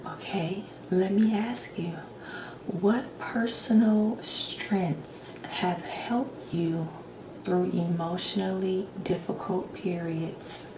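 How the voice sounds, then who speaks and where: Soft spoken lady doing asmr doctor roleplay, real slow n reassuring, half whispery, roomy echo. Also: lowish voice, fairly even intonation. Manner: gentle, reassuring, clinician-like questioning. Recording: roomy echo, clear background noise.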